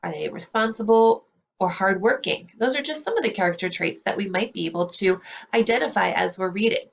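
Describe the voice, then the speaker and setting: A woman speaking as a school librarian, explaining things clearly in a read-aloud lesson for young children. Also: mid-range voice, lively intonation, brisk, lo-fi sound. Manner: clear, explanatory teacher talk.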